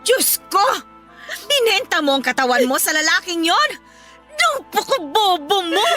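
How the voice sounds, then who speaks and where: A woman's voice: very high-pitched, sing-song, unhurried, bright, forceful tone, faint music behind, heavily acted. An actress with a Filipino accent playing an angry, domineering middle-aged mother in a radio drama, scolding and demanding answers with heavy emphasis. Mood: shocked, anguished. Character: angry, domineering mother confronting her adult daughter.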